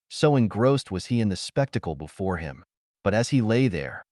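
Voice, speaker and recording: A man narrates pulp science fiction in a low voice. His pitch moves about a great deal as he reads, and the sound is dry and close. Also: a little mellow, dry recording. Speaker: audiobook narrator.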